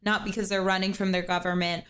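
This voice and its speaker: A woman's voice: medium pitch, level tone, fast, a bit bright. A young woman hosting a livestream, giving a caller earnest advice in a casual chat and repeating words as she thinks. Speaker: streamer host giving advice.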